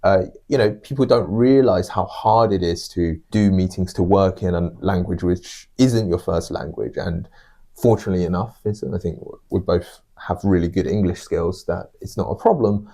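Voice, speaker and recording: Low, mellow-voiced man chatting emphatically. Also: normal speed, creaky. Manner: emphatic repetition, rhetorical questions. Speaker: podcast co-host. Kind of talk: casual discussion.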